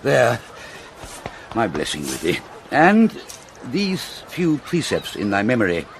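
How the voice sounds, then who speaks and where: A man's voice: mid-range voice, huge pitch swings, slow pace, slight background noise, heavily acted. An actor with a British accent playing a pompous, fussy older father and counsellor, lecturing in classical Shakespearean style. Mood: bustling, urging. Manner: declamatory, sententious, lecturing.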